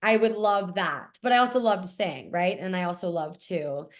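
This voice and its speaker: A young woman from Toronto, Canada, with a raspy voice, talking eagerly as a guest in a casual interview. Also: lowish voice, normal speed. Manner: eager, gushing, repeats how much she loves things. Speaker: guest actor.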